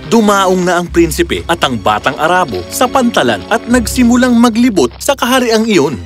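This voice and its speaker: A man's voice: high-pitched, very animated, moderate pace. A man narrating a scripted children's fairy tale as the storyteller. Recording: music clearly behind the voice.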